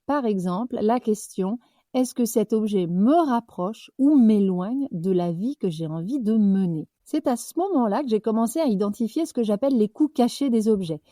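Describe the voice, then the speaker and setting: A young woman hosting a podcast, reflecting out loud in a loose, conversational way with fillers and self-corrections. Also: medium pitch, animated, normal speed, dry recording, clean studio sound. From French-speaking, living abroad. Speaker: podcast host. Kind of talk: personal reflective monologue.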